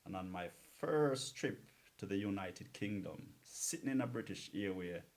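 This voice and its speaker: A man's voice: low voice, animated, moderate pace. A man talking casually between songs as a radio DJ, earnest and repeating words for emphasis.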